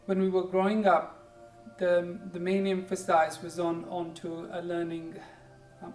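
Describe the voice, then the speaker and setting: A yoga instructor in their forties from India, with an Indian accent and English as a second language, guiding a class with gentle, encouraging cues. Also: mid-range voice, monotone, measured pace. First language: Hindi or Punjabi. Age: 40s. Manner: gentle, encouraging, keeps saying "good", simple repeated cues. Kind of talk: guided yoga class with pep talk. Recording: echoey room, distant mic, faint music.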